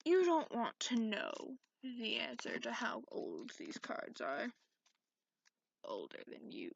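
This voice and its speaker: A woman voicing a cranky, sarcastic, centuries-old dragon in a soft-spoken ASMR roleplay, haughty and grudging. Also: fairly high pitch, creaky, heavily acted. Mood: haughty, indignant. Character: cranky sarcastic centuries-old dragon who looks young, reading tarot. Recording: dull lo-fi microphone sound.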